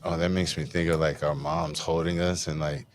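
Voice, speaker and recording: Deep-voiced male podcast host, relaxed and wandering, slangy. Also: normal speed. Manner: reflective, rambling, casual slang. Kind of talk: conversational interview. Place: live conference recording.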